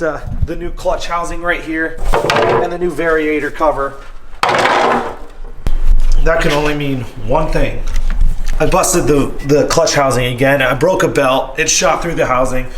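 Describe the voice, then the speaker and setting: A young man with a raspy, hoarse voice from a cold, chatting casually and upbeat in his home garage vlog. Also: on the high side, fairly bright tone, a little hiss or hum. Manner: chatty, upbeat and self-deprecating. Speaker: vlogger. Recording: music playing under the voice. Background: music.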